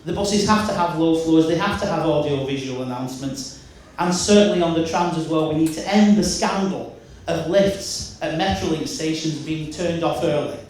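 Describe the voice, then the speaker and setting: Loud high-voiced man in an echoey hall. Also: normal speed, slight background noise. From Wigan, England. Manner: loud, emphatic speaking voice with repeated restarts. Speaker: mayoral candidate. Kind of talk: campaign speech. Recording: echoey hall, mic from far off.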